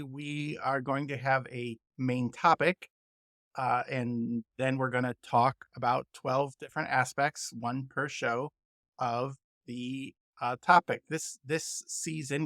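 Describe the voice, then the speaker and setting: A man's voice: medium pitch, vocal fry, fairly bright tone, no echo. A man hosting a podcast in a conversational style, friendly and informal as he introduces guests and segments. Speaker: podcast host.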